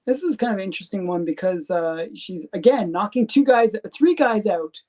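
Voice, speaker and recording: A Canadian woman with a Canadian accent hosting a live stream, talking in a rambling, halting way with lots of fillers and restarts. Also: mid-range voice, lively intonation, warm tone. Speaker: comic collector host. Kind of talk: casual live stream show-and-tell.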